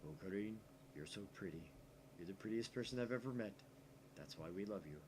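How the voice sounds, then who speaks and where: Man doing a soft, low, easygoing young sidekick. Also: lively intonation, brisk, noisy background, natural voice. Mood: admiring. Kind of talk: visual novel character reading.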